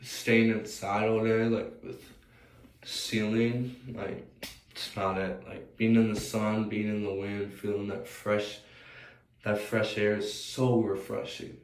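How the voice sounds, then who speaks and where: A man's voice: on the low side, level tone, takes it slowly. A young American man with a slightly scratchy voice, rambling hesitantly as he thinks aloud in a casual, unscripted personal vlog. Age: young adult. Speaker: vlogger. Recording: roomy, distant mic, faint noise.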